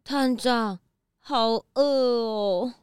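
A woman's voice: fairly high pitch, drawn-out words, smooth, dry recording. A woman playing a hungry, eager young detective's sidekick in a children's audio drama, childlike and exclamatory. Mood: impatient, whiny.

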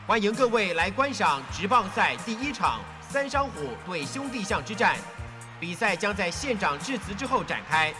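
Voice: mid-range voice, sing-song, moderate pace, over music. An actor playing a formal baseball stadium announcer in a radio drama, with public-address reverb. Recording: reverberant stadium effect on old cassette.

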